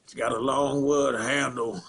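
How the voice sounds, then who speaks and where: A man acting a simple-minded rural Southern man in a halting, plainspoken dramatic film monologue, with a throaty rasp and grunts. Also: mid-range voice, fairly even intonation, a bit bright. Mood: grim, matter-of-fact. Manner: halting, repetitive, plainspoken, folksy.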